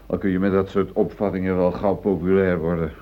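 Actor playing deep-voiced, defensive middle-aged foreman, muffled radio play. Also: level tone, fairly quick, lightly acted. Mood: bitter. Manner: self-justifying, earnest. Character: newly promoted middle-aged foreman, defensive, conservative, uneasy. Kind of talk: Dutch radio play acting. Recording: muffled phone-like old radio recording.

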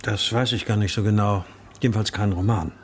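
An actor playing a brooding, grieving writer who narrates a radio drama in a subdued, introspective manner. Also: male voice, fairly low pitch, fairly even intonation, fairly quick, slight background noise, close to an everyday voice. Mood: guarded, hesitant. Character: brooding married male writer, grieving missing daughter. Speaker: narrator and lead character. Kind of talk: first-person radio drama narration and dialogue.

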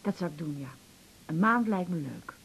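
A woman's voice: fairly low pitch, expressive, moderate pace, gravelly, breathy edge, full character voice, low-quality recording. An actress playing a self-conscious, anxious middle-aged mother in a Dutch radio drama, questioning and unsure, with an accent from the Netherlands. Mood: hesitant. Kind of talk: naturalistic 1980s radio drama acting.

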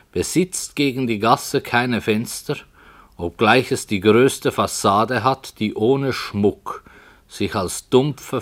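A man playing a brooding, polite author who narrates a radio play in a solemn, measured manner. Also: fairly low pitch. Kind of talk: literary scripted narration in a 1960 radio play.

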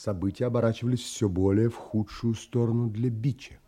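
A composed male storyteller, played by an actor in an audio drama. His tone is mellow and mid-pitched. He recounts his own story earnestly and with measure. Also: steady tone, a little fast, natural voice. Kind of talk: first-person audio drama narration.

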